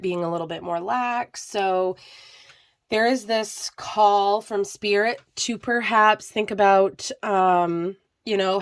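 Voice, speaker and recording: A young woman, slightly hoarse from a lingering cold, gives a casual tarot reading straight to the viewer, wandering through her thoughts with lots of fillers. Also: medium pitch, dry recording, clean studio sound. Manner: meandering, many fillers, addresses viewer directly. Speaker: tarot reader.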